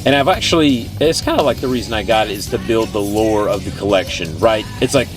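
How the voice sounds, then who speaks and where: A man's voice: on the low side, brisk. A man from Texas with a Texan accent, talking casually and enthusiastically in a rambling vlog style as a retro game collector. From Texas, United States. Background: music.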